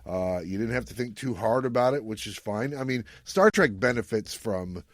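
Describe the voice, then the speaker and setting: A man's voice: medium pitch, expressive, normal speed, no echo. A man hosting a podcast in a casual, playful and informal way as he recaps and reviews a story. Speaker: podcast host.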